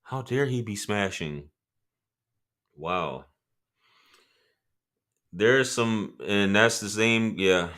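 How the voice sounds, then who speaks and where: Deep-voiced man hosting a loose livestream. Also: very slow, long pauses, a bit bright. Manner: loose, profane, laughing asides. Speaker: livestream host reading chat. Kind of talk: casual livestream chat.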